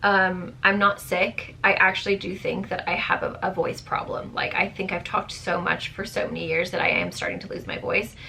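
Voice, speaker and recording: Chatty woman with a bright, strained voice. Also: medium pitch, level tone, moderate pace, gravelly, hardly any pauses, a little hiss or hum. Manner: chatty, rambling, many fillers. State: voice strained, going hoarse. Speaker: beauty vlogger. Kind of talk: casual product review to camera.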